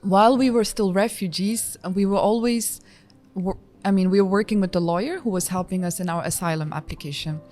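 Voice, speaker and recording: A woman who was born in Georgia and raised in France, speaking as a podcast guest in a thoughtful, measured way with frequent fillers. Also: mid-range voice, a little mellow, soft background music. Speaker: guest, executive director of a nonprofit. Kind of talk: podcast interview.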